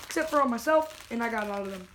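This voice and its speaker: A young vlogger with a hoarse, worn voice, chatting and joking casually while unboxing. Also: medium pitch, animated, fast, a bit bright, a little hiss or hum. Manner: chatty, jokey, self-talking. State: hoarse, lost voice.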